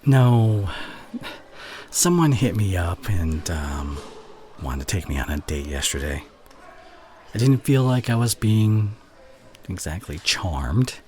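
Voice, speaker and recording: A man playing an exasperated, teasing college student in an ASMR roleplay, with a halting, sighing, sarcastic delivery. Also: deep voice, very animated, a little mellow, full character voice. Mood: exasperated, defensive. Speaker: audio roleplay voice actor. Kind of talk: ASMR roleplay acting, one side of a conversation. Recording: clear background ambience noise.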